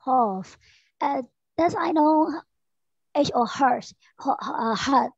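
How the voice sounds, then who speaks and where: A woman's voice: high voice, takes it slowly, gravelly, dry recording. A woman whose first language is Burmese asking a question in an online class, sounding unsure. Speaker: teacher attending a webinar. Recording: dull dry lo-fi call audio.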